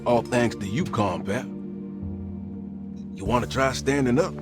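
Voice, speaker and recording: A man playing a calm, folksy asylum hand in a horror game. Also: medium pitch, unhurried, over music, natural voice. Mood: friendly, concerned. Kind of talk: cinematic horror game acting.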